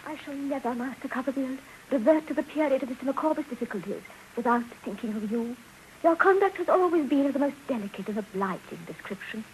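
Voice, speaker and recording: A woman's voice: high-pitched, lively intonation, quick pace. A woman playing a devoted, sentimental Victorian wife, genteel and effusive, in a 1950s radio drama. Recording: noisy old 1950s radio recording.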